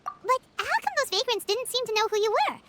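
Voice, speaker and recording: A woman voicing a tiny, childlike fairy girl companion in a video game, squeaky, bold and outspoken. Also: animated, a little fast, a bit bright, a little hiss or hum. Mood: chatty. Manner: exclamatory, refers to self in third person. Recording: music behind the voice.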